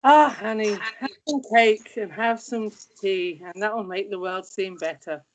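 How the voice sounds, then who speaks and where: A woman's voice: mid-range voice, unhurried, slight background noise, lightly acted, low-quality recording. A woman acting a wry, loving, no-nonsense middle-aged scientist mother in a screenplay table read, teasing and emphatic. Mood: soothing.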